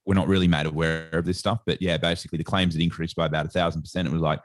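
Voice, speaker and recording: A very deep Australian man chats as a podcast guest. His voice sits low and sounds close and dry, with no room around it. He rambles loosely through his story with plenty of casual filler. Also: level tone, very fast, dry recording. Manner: rambling storyteller, heavy on "like" and "sort of". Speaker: guest, sports nutritionist.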